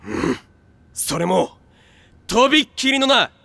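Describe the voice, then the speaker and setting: A man's voice: very high voice, animated, unhurried, vocal fry, bright, forceful tone, full character voice. A man playing a bombastic, fiercely loyal teenage boy in an anime drama CD. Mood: grand. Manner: bombastic, declaring. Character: small fiery teen boy, boastful and loyal.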